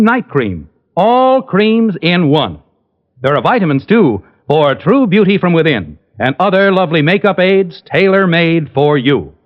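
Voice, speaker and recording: A man with an American accent reading scripted announcements and ads in a polished, emphatic 1950s radio style. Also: a little high. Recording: vintage radio broadcast, dull, music behind.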